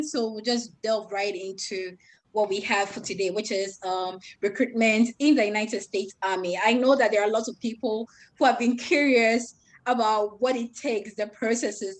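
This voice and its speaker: A woman's voice: medium pitch, poor audio. Woman host, mid voice, courteous and a bit hesitant. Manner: polite, hesitant with fillers. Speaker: session host. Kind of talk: hosting an online session.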